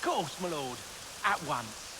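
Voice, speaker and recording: An actor playing an obsequious, sly commoner in a video game, speaking with servile politeness. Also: male voice, on the high side, big pitch swings, slow pace. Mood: fawning. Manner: fawning, servile. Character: obsequious, sly commoner feigning humble apology. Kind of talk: cinematic video game acting. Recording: loud rain-like noise and clicks.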